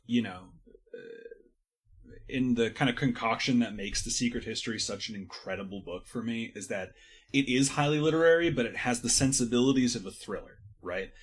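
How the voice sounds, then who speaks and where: A man's voice: mid-range voice, brisk, slightly rough. A man talking effusively and casually about books as a podcast guest, fond of hyperbole. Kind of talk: casual book discussion.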